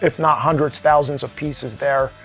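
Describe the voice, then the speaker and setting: A man's voice: mid-range voice, steady tone, normal speed, fairly bright tone, faint music behind. A man speaking as an interview guest, explaining things informally in conversation. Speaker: interview guest, sales director.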